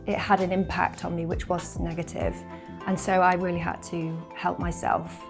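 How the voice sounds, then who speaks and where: A woman's voice: on the low side, creaky, darkish tone. A woman who works as a therapist and coach, speaking reflectively and articulately in a documentary interview.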